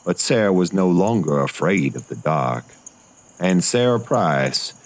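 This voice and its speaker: A man's voice: sits low, expressive, a little slow. A man playing a long-lived, solemn, gracious storyteller narrating a gothic horror tale for a fiction podcast. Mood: ominous, measured. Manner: folksy, formal, courteous address.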